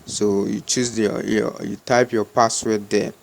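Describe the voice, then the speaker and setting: A man with a Ghanaian accent gives unscripted step-by-step instructions in a halting, repetitive way, as a tutorial host. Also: fairly low pitch, little pitch movement, creaky.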